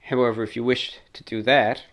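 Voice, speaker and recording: A man's voice: mid-range voice, little pitch movement, a little fast, fairly bright tone. A man hosting a radio hobby show, explaining in an informal presentation with slightly formal, stilted phrasing. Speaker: host of a shortwave radio show.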